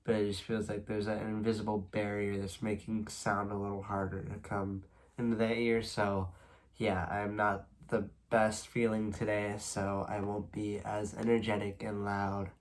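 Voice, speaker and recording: A man's voice: fairly low pitch, moderate pace. Congested young man recapping baseball stats. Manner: reads stats at a steady clip, rambling asides. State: congested, stuffy nose; slightly worn voice. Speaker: baseball video host. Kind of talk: casual solo sports recap.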